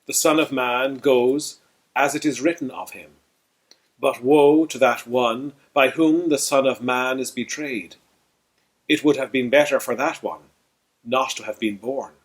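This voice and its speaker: A man's voice: mid-range voice, unhurried. A man of the clergy reading the words of Jesus in a solemn, dramatised scripture reading.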